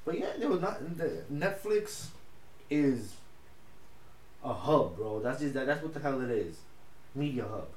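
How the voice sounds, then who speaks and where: A young man whose first language is Spanish, co-hosting a casual podcast chat in an animated, slangy way with lots of swearing. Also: mid-range voice, unhurried, long pauses. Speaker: podcast co-host. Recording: echoey room with faint noise.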